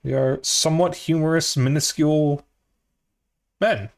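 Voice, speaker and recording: A man's voice: fairly high pitch, fairly even intonation, a little slow. A man with a somewhat nasal voice, casually commentating a game stream and bantering jokingly with chat in playful mock denials.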